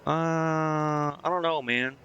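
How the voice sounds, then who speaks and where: Man, game streamer, creaky voice, casual indecisive muttering, dull lo-fi mic. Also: fairly high pitch, steady tone, slow pace, fairly bright tone. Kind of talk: casual live gameplay commentary, thinking aloud.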